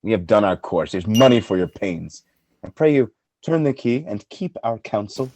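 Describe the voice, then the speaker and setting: An actor playing a jealous, tormented lord and husband in a Shakespearean radio play, theatrical and volatile, with a husky voice. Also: male voice, on the low side, expressive, moderate pace, slight background noise. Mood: scornful, tormented. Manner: theatrical, volatile, swinging between quiet and fury.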